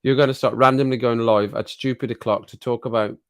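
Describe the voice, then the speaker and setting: A man hosting a casual live stream chat, rambling and laughing often, his voice a little croaky after a cold. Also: mid-range voice, steady tone, a little fast, dry recording, studio quality.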